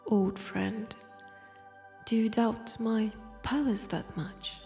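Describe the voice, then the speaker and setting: A woman with a French accent voicing an ancient, teasing vampire who poses as a nun, in a hushed, intimate roleplay drama. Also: mid-range voice. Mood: proud, menacing. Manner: slow, teasing, hushed, dropping into whispers. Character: ancient, powerful female vampire posing as nun, teasing, sardonic. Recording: long church-like reverb.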